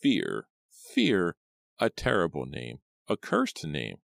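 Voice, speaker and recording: A man voicing a stooped old woman from a horror storybook, slow and commanding, with a deep, raspy, gravelly croak. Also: huge pitch swings, fairly bright tone, no room sound, heavily acted. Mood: ominous. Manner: slow, commanding, ominous pronouncements. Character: menacing, stooped old crone fortune-teller in woods.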